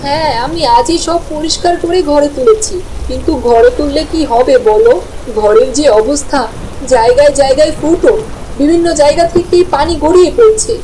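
A woman's voice: high voice, normal speed, dark tone, a little hiss or hum, close to an everyday voice, rough recording. A woman playing a caring, anxious village wife and mother in a cartoon, tender and fretful. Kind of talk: cartoon voice acting.

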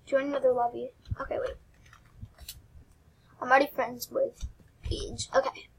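A young woman hosting her own game channel, chatting casually and rambling with giggles while she plays, her voice squeaky and often dropping to a mutter. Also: flat delivery, moderate pace, creaky, a little hiss or hum, rough recording. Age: teens. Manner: rambling, giggly, self-deprecating. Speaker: gaming channel host. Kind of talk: casual gameplay commentary.

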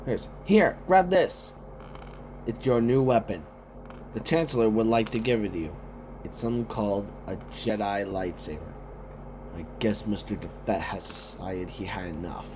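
A man improvising amateur character lines in a halting way, repeating and reworking them as he goes. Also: fairly low pitch, expressive. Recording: faintly noisy home recording.

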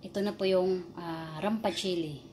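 A woman's voice: lowish voice, steady tone, moderate pace, mellow. A young Filipino woman, a native Tagalog speaker, chatting casually as a cooking vlog host and often addressing her viewers. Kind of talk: casual chat to camera, mixing languages. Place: small tiled home kitchen. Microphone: phone at arm's length. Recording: sizzling, noisy kitchen phone recording. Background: frying and stirring noise.

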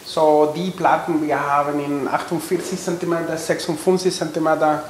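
A man's voice: on the high side, level tone, moderate pace. A man in his forties with a Chilean Spanish accent explains a craft in hesitant, non-native phrasing. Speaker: instrument maker and musician. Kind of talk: explaining a craft process to camera. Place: indoor production workshop. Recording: echoey workshop with slight noise.

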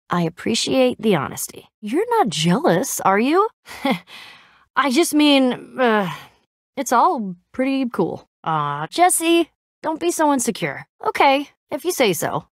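A woman voicing a brash, excitable young female adventurer in a video game, slangy and a bit awkward as she tries to sound cool. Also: fairly high pitch, dry recording, clean studio sound. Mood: wry, teasing, then casual, earnest. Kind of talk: animated game dialogue acting.

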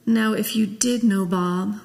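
A woman minister leading a guided meditation, speaking gently and in a measured way with long pauses. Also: mid-range voice, fairly even intonation. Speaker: minister leading a memorial service. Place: large church sanctuary, at a pulpit. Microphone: gooseneck pulpit microphone. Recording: long echo of a church hall.

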